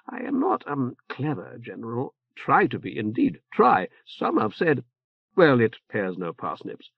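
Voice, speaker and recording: A man's voice: mid-range voice, gravelly, dry recording, heavily acted. A man voicing a fretful older male churchman who stammers, hedges and tags lines with "eh?", in a dull, muffled recording. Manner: halting, full of "uh" and "eh?" tags. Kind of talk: audiobook character voice.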